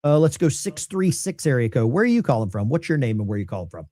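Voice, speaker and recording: A male call-in host with a lively, swinging voice. He tosses off quick jokes and quips between callers, and the sound is dry and close. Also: mid-range voice, dry recording. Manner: joking, offhand, quick quips. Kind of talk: casual call-in talk.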